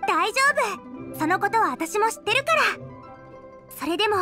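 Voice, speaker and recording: Game young cook: cheerful eager girl, earnest, very high voice, music behind. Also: animated, normal speed. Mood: eager, friendly. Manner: perky, earnest. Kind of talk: Japanese game voice acting.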